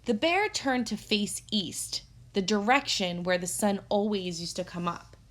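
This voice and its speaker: A woman teacher reading a story aloud for children, expressive and instructive, pausing to pose guiding questions. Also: mid-range voice. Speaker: elementary teacher.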